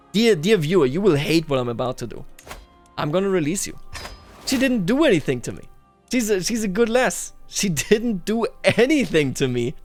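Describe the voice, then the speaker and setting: A man doing roleplay commentary over a strategy game, addressing its characters and himself with theatrical declarations. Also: high voice. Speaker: gaming YouTuber.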